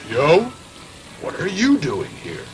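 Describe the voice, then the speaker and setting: A man's voice: fairly high pitch, wildly swooping pitch, takes it slowly, over loud music, exaggerated voice, poor audio. A man voicing a big, hungry, good-hearted dog in a dubbed cartoon, eager and exclamatory. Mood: surprised, resolute. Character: hungry big dog, polite, brave, reassuring.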